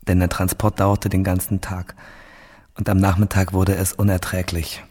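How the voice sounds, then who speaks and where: An actor playing a grave, parched captive awaiting execution in a radio drama monologue, slow and subdued, sometimes near a whisper. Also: male voice, low-pitched, completely flat delivery, darkish tone, a little hiss or hum, close to an everyday voice. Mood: weary, grave.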